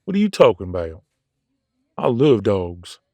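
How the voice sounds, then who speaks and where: A man playing a cocky, casual werewolf veterinarian in an audio drama, gruff but soft-hearted, with a streetwise way of talking. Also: lowish voice, very animated, measured pace, no room sound. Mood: earnest, candid. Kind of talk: audio roleplay drama dialogue.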